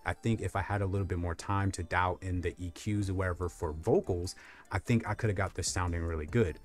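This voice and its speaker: A young man with a very deep, low voice talks through a gadget review in a loose, rambling way, full of fillers. He is recorded close on a lapel mic in a small room. Faint music plays underneath. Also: steady tone, brisk. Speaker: tech reviewer. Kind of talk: casual product review. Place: small room lit with colored lights.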